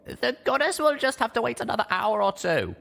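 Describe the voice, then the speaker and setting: A man's voice: extremely high pitch, wildly swooping pitch, brisk, creaky, pushed and bright, pitched above the performer's own voice, full character voice. A man voicing a young mare heroine, an earnest and determined adventurer in a dramatic story reading. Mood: earnest, urgent.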